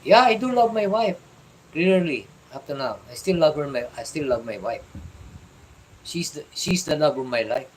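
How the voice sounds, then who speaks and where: A middle-aged man from the Philippines with a Filipino accent, chatting on a livestream and giving fatherly advice in a halting way, repeating and restarting his words. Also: on the high side, animated, slight background noise, poor audio. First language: Tagalog. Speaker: vlogger. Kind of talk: casual livestream chat.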